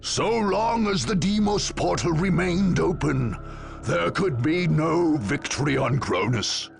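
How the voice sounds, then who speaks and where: A man voicing a grave storyteller in dramatic, scripted video game narration, measured and portentous. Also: a little high, lively intonation, creaky, soft background music, exaggerated voice. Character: grave storyteller chronicling a war.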